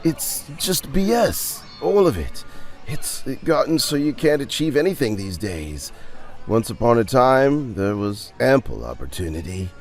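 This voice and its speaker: A man's voice: mid-range voice, very animated, a little slow. A man voicing a lonely, self-blaming man at a bar, wistful and exasperated, in an audio story. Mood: bitter, exasperated.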